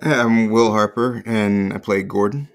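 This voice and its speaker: A man's voice: lowish voice, a little slow, a little hiss or hum. A man speaking as an actor guest in casual, reflective conversation.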